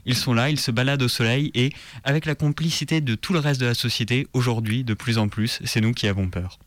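A man's voice: medium pitch, brisk, a little hiss or hum. A man delivering a written first-person militant monologue in colloquial, slangy words, like a radio columnist.

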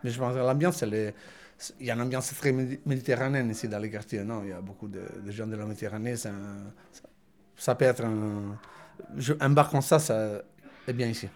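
A man from Rome, a native Italian speaker with a Roman accent, telling stories in a loose, animated way as an interview guest. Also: mid-range voice, a little fast. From Rome, Italy. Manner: animated storytelling, loose grammar, restarts. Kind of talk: casual interview talk.

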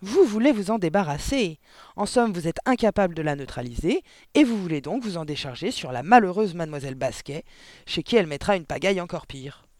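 Man playing a bellowing, high-pitched tyrant headmistress. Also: lively intonation, fairly quick, dry recording. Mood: outraged, bellowing. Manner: barking, scornful, emphatic. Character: huge, bullying, bellowing middle-aged headmistress, spiteful tyrant.

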